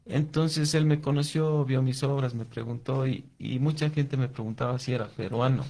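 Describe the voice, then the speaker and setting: Gravelly-voiced Ecuadorian man being interviewed. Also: mid-range voice, moderate pace, a little mellow. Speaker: interview guest, watercolor artist and youth coordinator. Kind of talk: conversational interview answers.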